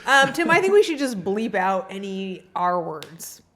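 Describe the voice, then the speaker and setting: A woman's voice: medium pitch, very animated, unhurried, a crowd in the background. A woman co-hosting a casual comedy podcast, throwing in dry, wry quips. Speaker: podcast co-host.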